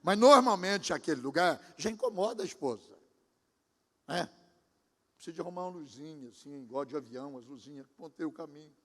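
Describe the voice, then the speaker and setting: A man's voice: a little high, animated, brisk. A pastor in his sixties giving a sermon, talking conversationally and speaking directly to his congregation. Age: 60s. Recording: long hall reverb.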